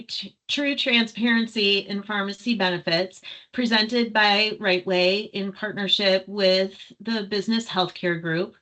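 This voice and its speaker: A woman's voice: medium pitch, a bit bright, poor audio. A woman opening a business webinar, reading a prepared welcome with a few ums. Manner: reads a prepared intro with small ums. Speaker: webinar host. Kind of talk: scripted welcome remarks. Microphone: video call.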